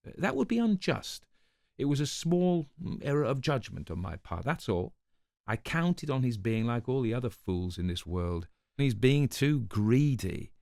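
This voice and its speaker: A man's voice: on the low side, creaky, darkish tone, no echo, clean studio sound. A man reading a storybook part as a callous, self-justifying spymaster who speaks in a formal, disdainful, precise way. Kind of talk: dramatized audiobook reading.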